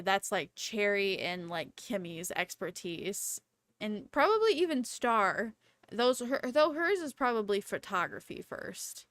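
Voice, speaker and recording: A woman in her twenties chatting casually on a livestream in a rambling, playful, excitable and slangy way. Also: mid-range voice, clear, resonant tone, dry recording, studio quality. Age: 20s. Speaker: livestreamer, VTuber host.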